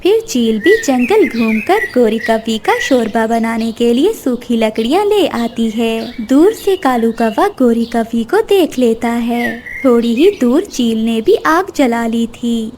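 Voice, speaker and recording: A woman's voice: on the high side, lively intonation, unhurried, few pauses, darkish tone. A woman storyteller reading a scripted children's story narration. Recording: noisy track with bird sounds.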